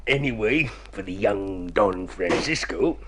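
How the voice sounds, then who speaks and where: A man's voice: on the low side, lively intonation, measured pace, noisy background. A man playing a sly, persuasive schemer in a radio drama, coaxing and bossy. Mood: coaxing, confident. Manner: coaxing, smooth-talking, bossy. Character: sly, persuasive male swindler coaching an accomplice.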